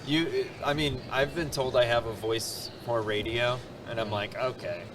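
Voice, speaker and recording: A man's voice: mid-range voice, slow pace. Casual male podcast host, noisy outdoors. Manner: laid-back, jokey, asks questions. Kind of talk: casual chat. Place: outdoors near a pub in a city.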